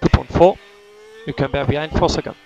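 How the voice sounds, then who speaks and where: Over a team radio with some vehicle noise comes a male race engineer with a slightly high voice. He speaks in terse, clipped instructions. He repeats key calls in a procedural way. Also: fairly even intonation, fairly quick, vocal fry, lo-fi sound. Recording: noisy motorsport team radio.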